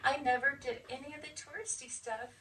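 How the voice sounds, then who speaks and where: Higher-pitched middle-aged woman, distant mic. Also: steady tone, a little fast, pushed and bright. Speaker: veteran being interviewed. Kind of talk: casual recollection. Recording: distant mic with faint background noise.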